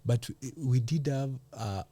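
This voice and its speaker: A man with a Kenyan accent hosting a conversational podcast interview, speaking hesitantly and often clearing his throat. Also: mid-range voice, a little slow, a crowd in the background. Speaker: podcast host.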